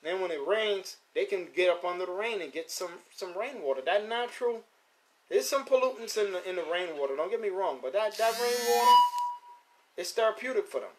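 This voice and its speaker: A man's voice: high-pitched, expressive, fairly quick, noisy background. A man in his fifties hosting a live stream about his pigeons, talking casually and repeating points for emphasis. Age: 50s. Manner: loose, repetitive, emphatic street-casual talk. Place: at home near his pigeon loft.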